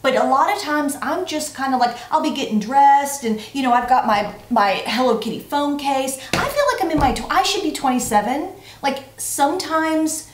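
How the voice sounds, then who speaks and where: A woman in her forties co-hosts a casual vlog in a roomy, echoing space. Her voice sits fairly high. She talks playfully and leans on repetition for emphasis. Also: moderate pace, few pauses, a little hiss or hum. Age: 40s. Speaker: vlog co-host. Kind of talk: casual chat, answering tag questions. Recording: roomy, echoey sound.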